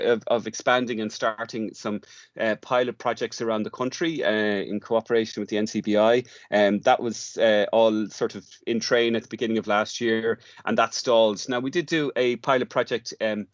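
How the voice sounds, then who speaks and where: An Irish man talking conversationally as an interview guest, halting and often repeating words as he explains. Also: medium pitch, dry recording, clean studio sound. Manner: hesitant, halting, with stammered word repeats. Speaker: guest, audio theatre workshop leader.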